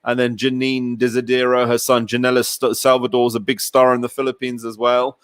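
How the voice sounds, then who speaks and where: A man's voice: mid-range voice, little pitch movement, a little fast, fairly bright tone, clean tone, no room sound, studio quality. A man hosting a casual interview, talking in an effusive, rambling way like a keen fan. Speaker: show host interviewer.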